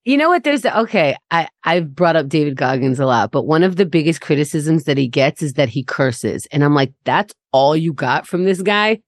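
An American woman in her forties chatting casually on a podcast, emphatic and quick to swear. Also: sits low, expressive, no echo, studio quality. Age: 40s. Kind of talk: casual chat.

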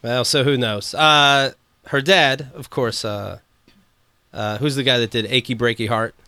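A man's voice: mid-range voice, steady tone, unhurried, pushed and bright, dry recording, studio quality. A man hosting a casual talk show, teasing his guests with jokes. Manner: joking, teasing. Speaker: host. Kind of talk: casual chat.

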